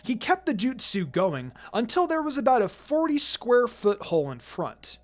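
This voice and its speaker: A narrator reading fan fiction aloud in an expressive, scripted storytelling style. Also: mid-range voice, normal speed. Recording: background music under the voice. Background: music.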